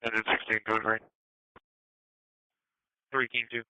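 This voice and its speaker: A man's voice: fairly low pitch, flat delivery, unhurried, bright, forceful tone, smooth, no echo. A man working as a police dispatcher, giving terse procedural instructions over lo-fi radio. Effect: radio transmission. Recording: muffled lo-fi radio scanner.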